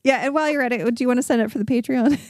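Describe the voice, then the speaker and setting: A woman's voice: a little high, very fast, darkish tone, no room sound, studio quality. A North American woman podcast host telling casual stories in a self-deprecating, sweary way. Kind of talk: casual chat, animated anecdote telling.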